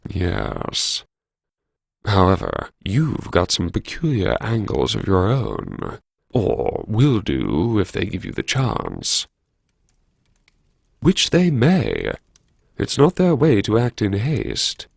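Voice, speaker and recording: Guy acting as a brooding haunted academic muttering wryly to himself, audiobook style, really creaky voice, super dry close recording. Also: lowish voice, huge pitch swings, dry recording, exaggerated voice. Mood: pensive, sardonic. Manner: brooding, wry, halting self-address with sighs. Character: wry, haunted male university vice-chancellor musing to himself. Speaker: audiobook performer. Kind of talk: dramatic first-person interior monologue.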